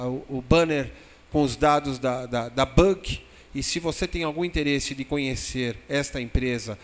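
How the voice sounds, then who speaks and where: A man hosts an interview in a wordy, effusive style, with formal courtesies and halting phrasing. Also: mid-range voice, fairly bright tone. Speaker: host of a web TV programme. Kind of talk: conversational interview hosting. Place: glass studio inside a shopping mall. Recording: long reverb of a hall-like room.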